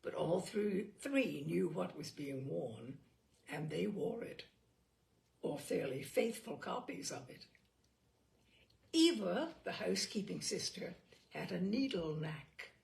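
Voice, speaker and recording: A woman reading a literary short story aloud expressively, with deliberate dramatic emphasis and pauses. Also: on the low side, sing-song, creaky, a little mellow. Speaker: storyteller, audiobook reader.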